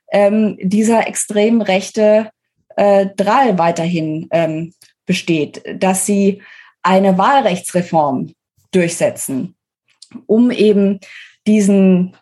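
A woman's voice: mid-range voice, dark tone. Articulate woman explaining politics as guest. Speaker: interview guest, author. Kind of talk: conversational political analysis.

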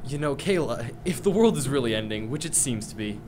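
A young actor playing a brash teenage jock. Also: female voice, a bass voice, lively intonation, brisk. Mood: resigned. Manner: brash, sarcastic. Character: cocky teenage jock Chris. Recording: loud background noise.

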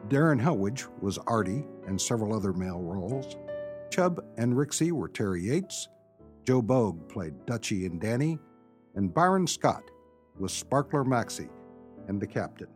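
A man's voice: fairly low pitch, darkish tone. A man reading a scripted radio announcement in the role of show announcer. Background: music.

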